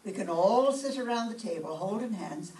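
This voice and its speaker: A woman playing an excitable, sentimental older Irish widowed landlady in an old-time radio comedy, with an Irish accent. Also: mid-range voice, moderate pace, natural voice. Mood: earnest. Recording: echoey room, distant mic, faint noise.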